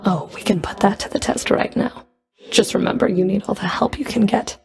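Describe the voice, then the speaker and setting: A woman's voice: mid-range voice, a little fast, creaky, in a whisper, close to an everyday voice. A woman playing a stern, scornful underworld fury in a video game, with a cold, sardonic, taunting delivery. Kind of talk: scripted video game character dialogue.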